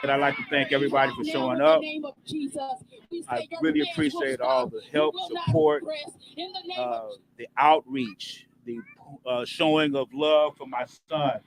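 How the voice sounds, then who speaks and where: A man's voice: medium pitch, big pitch swings, a little slow, a crowd in the background, rough recording. A middle-aged man making a plain-spoken public statement outdoors, as a bereaved father.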